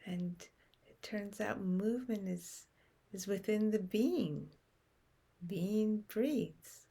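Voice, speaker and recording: A woman leading a guided meditation in a slow, gentle way, with long pauses between short phrases. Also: medium pitch, gravelly, breathy edge.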